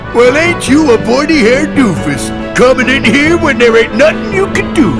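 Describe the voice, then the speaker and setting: A man voicing a big blustering cartoon villain in a video game, gravelly, taunting, with folksy slangy talk. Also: very high-pitched, heavily acted.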